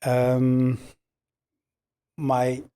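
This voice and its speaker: An older man from Morocco whose first language is French, telling his life story slowly as a podcast guest, with hesitant pauses and fillers. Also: mid-range voice, monotone, mellow, smooth. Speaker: podcast guest, business owner. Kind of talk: relaxed interview storytelling.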